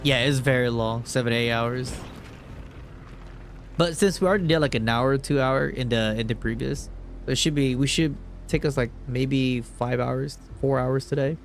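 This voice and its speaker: A man's voice: medium pitch, fairly even intonation, moderate pace, faint music behind. Man giving casual, slangy gaming commentary. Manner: slangy, profane, informal. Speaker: gaming video creator playing and reacting. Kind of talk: casual gameplay reaction commentary.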